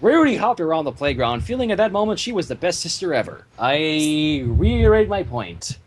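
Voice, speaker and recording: A man narrates a story with a high, expressive voice that moves around a lot. He slips into casual asides, and the home recording has faint background noise. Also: a little fast. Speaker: narrator of a fan fiction reading.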